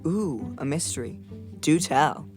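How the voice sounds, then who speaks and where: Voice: medium pitch, very animated, measured pace, a little mellow, faint music behind. An actor voicing a precise, bookish boy with a formal, fussy, wordy way of talking in a children's audio drama. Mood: fussy, keen. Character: precise, bookish boy, the careful twin brother.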